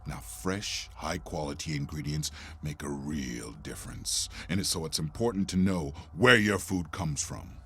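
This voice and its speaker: A man's voice: rumbling low, a little slow, pushed and bright, breathy edge. A brash, sarcastic man co-hosting a podcast, doing irreverent ad banter. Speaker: podcast co-host reading an ad.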